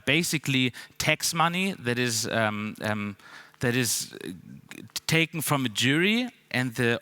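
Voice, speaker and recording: A man's voice: mid-range voice, bright, forceful tone. A man in his thirties with a German accent, giving a conference talk on a large stage through a headset mic, with loose chat full of ums and restarts. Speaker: conference speaker. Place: large dark stage hall.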